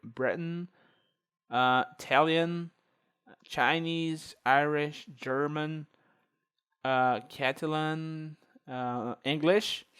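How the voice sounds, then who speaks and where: A man's voice: on the high side, fairly bright tone, clear, resonant tone. A man whose first language is Portuguese, speaking English with a Portuguese accent, hosting a screen-recorded tutorial and thinking aloud with many fillers. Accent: Brazilian Portuguese. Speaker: tech video host.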